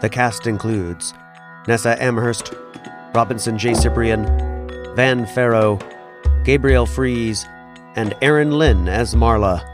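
A male announcer delivers a scripted credit read at a middling pitch. Music plays clearly behind his voice. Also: takes it slowly, pauses often.

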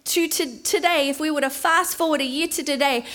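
A woman in her forties preaching a sermon, slowing to stress key words. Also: high-pitched, fairly bright tone. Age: 40s.

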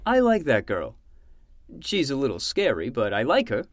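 A man's voice: mid-range voice, huge pitch swings, moderate pace, dry recording. A man playing an easygoing uncle with a wry, casual manner in a fiction podcast. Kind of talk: conversational fiction podcast acting.

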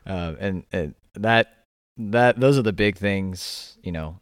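Male host, very low voice, dry close sound, loose rambling conversational delivery. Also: slow pace, clear, resonant tone, no room sound. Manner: rambling, many restarts and fillers. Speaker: podcast host, gym owner. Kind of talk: casual interview chat.

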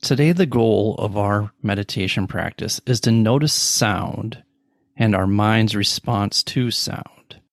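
A middle-aged man leading a guided meditation in a calm, measured way, leaving long pauses between slow phrases. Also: fairly low pitch, gravelly, no room sound, clean studio sound.

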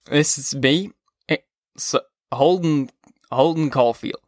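A man's voice: a little high, takes it slowly, no room sound, clean studio sound, pitched above the performer's own voice. A man doing a slurring, stammering drunk teen boy.

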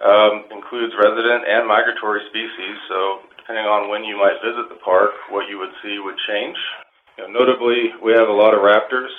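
A man answering interview questions as a park official, explaining in a halting, measured way with technical wording. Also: on the low side. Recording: phone-like line with clear noise.

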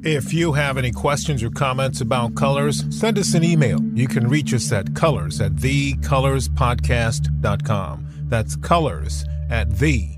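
A man's voice: mid-range voice, measured pace, few pauses. A man reading a scripted podcast announcement. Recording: voice over loud music bed. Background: loud music.

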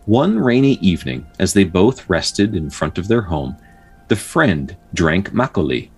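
A man hosting a storytelling show, narrating folktales in a measured, dramatic way with casual asides and a husky voice. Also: sits low, sing-song, a little mellow, faint music behind. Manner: measured, pausing delivery when telling the tale.

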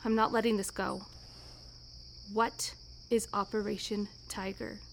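A woman's voice: mid-range voice, little pitch movement, a little slow, gravelly, slight background noise. A woman playing a protective, tender but insistent telepathic wife in an audio drama. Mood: tender, firm.

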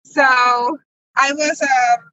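A woman's voice: sits high, steady tone, extremely slow, bright, forceful tone, no echo, lo-fi sound. A woman in her thirties from the Republic, answering interview questions conversationally as a farmer guest. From Dominican Republic.

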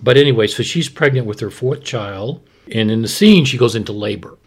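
A man's voice: mid-range voice, brisk, husky. A man co-hosting a podcast, telling things in a loose, rambling, conversational way and repeating himself. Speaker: podcast co-host. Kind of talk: casual conversational storytelling.

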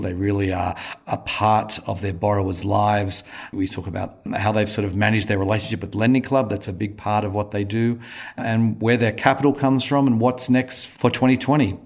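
A man hosting a podcast, with a voice that sits on the low side. He introduces his guest and asks questions in a relaxed, conversational way, often restarting his sentences. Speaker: podcast host. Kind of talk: podcast interview.

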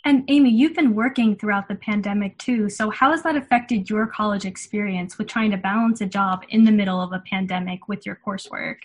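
Young woman, podcast host, earnest and articulate, breathy voice, slightly scripted delivery. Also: mid-range voice, brisk, few pauses. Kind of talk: hosting a student panel discussion.

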